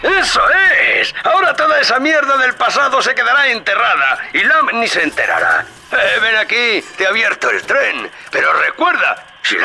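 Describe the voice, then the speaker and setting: A man's voice: very high-pitched, big pitch swings, normal speed, vocal fry, bright, forceful tone, heavily acted. An actor playing a sly, scheming middle-aged businessman in a dubbed video game, wheedling and folksy. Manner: wheedling, folksy, calls the listener friend and brother. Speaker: radio guide character in a dubbed video game.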